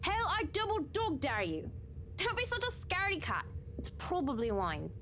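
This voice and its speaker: A woman's voice: extremely high pitch, wildly swooping pitch, moderate pace, fairly bright tone, a little hiss or hum, exaggerated voice. A woman voicing a taunting, playful yet menacing young female ghost in a horror visual novel game, with a teasing, theatrical delivery. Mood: teasing, daring.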